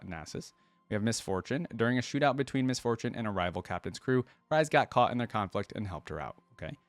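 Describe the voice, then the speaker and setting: Low-pitched man, casual reactions, dry close sound. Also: no echo. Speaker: host of a game reaction video. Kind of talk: casual commentary, reading aloud and reacting.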